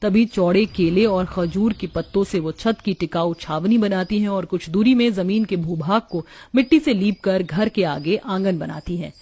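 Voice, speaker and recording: A woman's voice: medium pitch, normal speed, hardly any pauses, warm tone, breathy edge. A woman narrator reading a scripted cartoon story.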